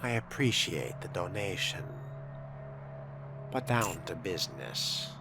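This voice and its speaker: A man's voice: low-pitched, very animated, slow, over music. A man giving in-character game commentary in a haughty, drawling manner with formal diction. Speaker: player voicing his own vampire character in a roleplay playthrough.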